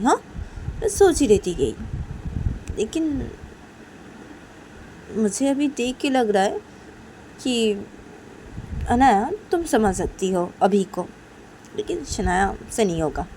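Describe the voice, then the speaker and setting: Woman acting high-voiced nagging, exasperated mother. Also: dark tone, slight background noise, rough recording. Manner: insistent, repetitive nagging. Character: nagging, exasperated, scolding mother of young women.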